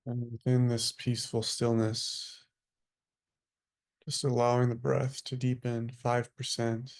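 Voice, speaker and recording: Guy leading a meditation, super slow n flat, lots of vocal fry, hushed with long gaps. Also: medium pitch. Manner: unhurried, hushed, sparse phrases with long pauses. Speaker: meditation teacher leading a group. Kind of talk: guided meditation.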